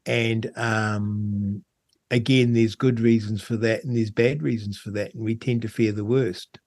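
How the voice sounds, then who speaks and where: A man with a New Zealand accent talking in a casual radio discussion as host, with a hesitant, halting delivery. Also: fairly low pitch, steady tone, a little mellow, no echo, studio quality. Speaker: talk show host.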